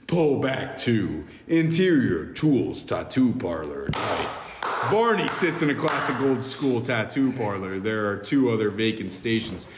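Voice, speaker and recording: A man's voice: medium pitch, big pitch swings, a little slow. A man reading screenplay directions aloud in plain, amateur narration at a podcast table read. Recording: echoey room, long reverb, background noise.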